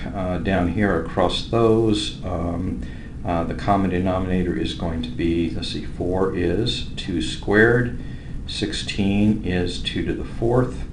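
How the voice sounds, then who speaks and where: A man teaching math, working through problems step by step in a measured way with pauses. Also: sits low. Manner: measured, with pauses while writing. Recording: roomy echo with faint background noise.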